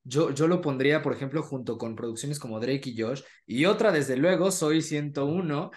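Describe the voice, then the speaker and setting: A man's voice: fairly high pitch, moderate pace. A man, hosting a casual interview from a small room on a desktop microphone. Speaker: interviewer, host. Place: small home room indoors. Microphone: desktop microphone beside him.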